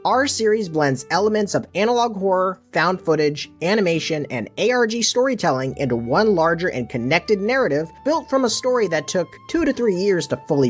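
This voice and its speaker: A man's voice: high voice, breathy edge. A man presenting his own creative work as a director, in scripted narration. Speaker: guest director of a horror web series.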